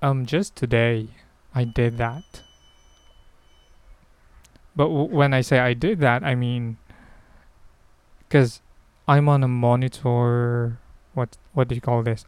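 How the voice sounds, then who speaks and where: A man's voice: mid-range voice, steady tone, slow, pauses often, darkish tone, smooth, dry recording. A man with a Filipino accent hosting a casual solo podcast, answering questions in a hesitant, rambling way as he thinks aloud. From Philippines. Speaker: podcast host.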